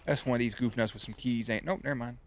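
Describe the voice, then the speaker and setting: A man's voice: mid-range voice, steady tone, fast. A man giving casual reaction commentary on a TV show, joking around with slang and swearing. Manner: jokey, playful, slangy and profane. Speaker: reaction video host.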